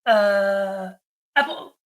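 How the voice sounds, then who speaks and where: A woman's voice: medium pitch, very slow. A middle-aged woman from New Hampshire, co-hosting a podcast in casual conversation with a teasing, plain-spoken manner. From New Hampshire, United States. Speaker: podcast co-host.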